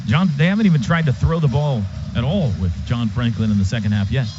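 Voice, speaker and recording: A man calling live football play-by-play on radio, with an excited running description of the action. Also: medium pitch, fast talker, music in the background. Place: stadium radio booth. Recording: dull radio sound over loud stadium noise. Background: loud crowd and stadium noise.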